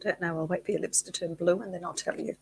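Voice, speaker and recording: A woman, co-hosting a casual live chat in a soft, slightly husky voice, close to the mic and often giggling and teasing. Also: fairly low pitch, fairly even intonation, fast talker, noisy background. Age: 60s. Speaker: co-host.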